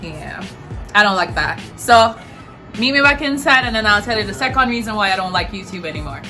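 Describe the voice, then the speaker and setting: Young Jamaican woman, bright voice, chatty and emphatic, roomy echo. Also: mid-range voice, animated, a little fast, soft background music. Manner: chatty, emphatic, repeats words for stress. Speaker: vlogger. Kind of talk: casual talk to camera.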